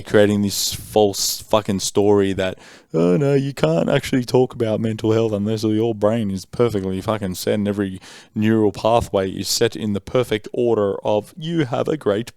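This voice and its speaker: A man's voice: fairly low pitch, normal speed, hardly any pauses, a little mellow, clean tone, no room sound, clean studio sound. A man in his thirties hosting a podcast, talking bluntly and emphatically with a lot of swearing. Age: 30s. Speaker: podcast host. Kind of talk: candid conversation.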